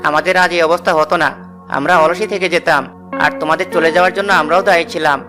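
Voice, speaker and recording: Man playing a high-pitched plaintive cartoon brother. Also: steady tone, quick pace, over music, natural voice. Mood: regretful. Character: idle young village brother, plaintive but good-natured. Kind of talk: Bengali cartoon fairy tale acting.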